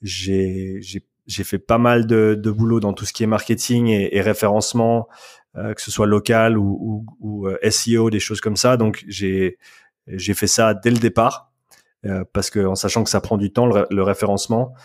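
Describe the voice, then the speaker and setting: Male guest, low-ish voice, casual and hesitant, restarts often. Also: flat delivery, normal speed, a little mellow. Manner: hesitant, many restarts and fillers; bilingual. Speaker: guest, strength coach. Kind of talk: casual podcast interview.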